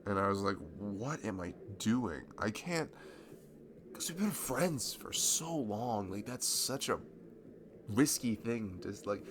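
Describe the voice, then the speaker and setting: A man acting a nervous, smitten young man in an intimate ASMR roleplay, speaking in a halting, stammering, bashful way. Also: on the low side, animated, noisy background. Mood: anxious, apologetic. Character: nervous, smitten young man confessing to his childhood best friend.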